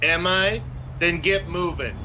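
A man's voice: high-pitched, slow, lo-fi sound, background noise. A man playing a sneering, bullying tough guy in old radio drama style, sarcastic and threatening. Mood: menacing sneer.

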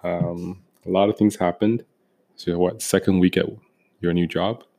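Deep-voiced man, hesitant podcast host. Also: level tone, unhurried, dark tone. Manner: hesitant, many ums and restarts. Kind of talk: casual podcast chat.

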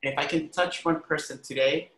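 A bright, forceful man's voice, pitched a little high, in a roomy recording. He is from Honduras and talks as an interview guest, earnest and emphatic, in a conversational way full of small filler phrases. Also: steady tone, brisk, a little hiss or hum. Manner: earnest, emphatic, frequent "like" and "you know". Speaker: arts critic and educator, podcast guest. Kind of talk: conversational interview, personal storytelling.

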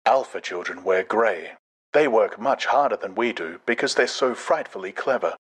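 A man voicing a soft, very distinct, prim and smug teaching recording in an audiobook. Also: on the low side, lively intonation, moderate pace, breathy edge. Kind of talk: dramatized audiobook character.

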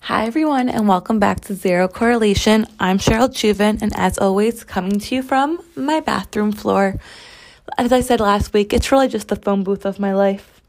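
A woman in her twenties hosts her solo podcast in a rambling, emphatic style, swearing freely. Her voice is strained and hoarse, and she is recording from her bathroom at home. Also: medium pitch, moderate pace, a little mellow. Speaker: podcast host. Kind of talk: casual solo podcast chat.

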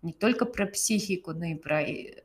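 Woman interviewer, lowish voice, stumbles around looking for the right words. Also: breathy edge. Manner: halting, searching for words. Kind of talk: conversational interview.

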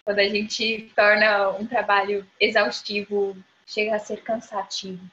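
A woman co-hosting a podcast, rambling in a relaxed way and checking in with the others. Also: medium pitch, measured pace. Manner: relaxed, rambling, asks "entendeu?". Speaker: podcast co-host. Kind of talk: casual podcast chat. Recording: dull lo-fi mic with faint noise.

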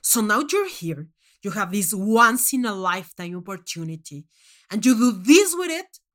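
A woman voicing a furious, contemptuous monstrous male alien judge in a story, scathing and emphatic as the voice rises toward shouting. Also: mid-range voice, very animated, normal speed. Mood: angry, scathing.

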